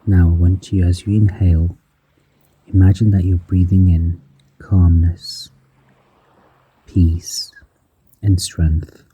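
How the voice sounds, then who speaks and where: A man guiding a breathing meditation in a slow, soft-spoken manner, counting the breaths aloud and dropping some counts to a whisper. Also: low voice, level tone, husky, rough recording. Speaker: meditation guide.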